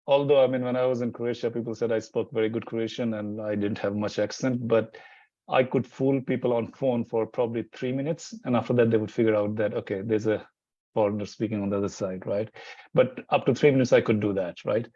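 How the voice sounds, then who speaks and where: A man's voice: medium pitch, steady tone, brisk, warm tone, no room sound, muffled recording. A man speaking as an interview guest, rambling with many restarts and fillers. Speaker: Hindi teacher, interview guest. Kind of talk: casual interview chat.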